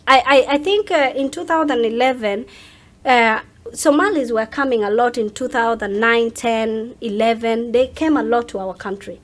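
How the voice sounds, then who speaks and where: A woman's voice: on the high side, moderate pace. A woman with a Ugandan accent, talking in an outspoken, emphatic way as a guest in a casual interview. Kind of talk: animated casual interview talk.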